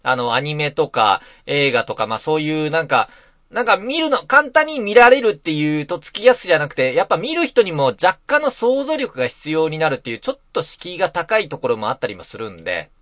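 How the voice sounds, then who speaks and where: A man's voice: on the high side, huge pitch swings, brisk, a bit bright, no echo. A middle-aged man hosting a casual solo net radio show, rambling along in a self-deprecating way. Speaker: net radio host and voice actor. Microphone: close mic in a soundproof booth.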